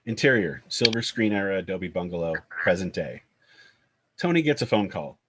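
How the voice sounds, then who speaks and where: A man's voice: sits low, animated, moderate pace, background noise. A man narrating screenplay stage directions at a table read.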